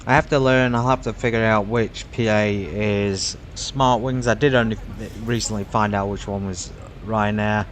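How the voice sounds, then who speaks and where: A man's voice: lowish voice, fairly even intonation, moderate pace, few pauses, slight background noise. Chatty man streaming a flight sim. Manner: chatty, musing aloud with frequent fillers. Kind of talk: casual live-stream commentary.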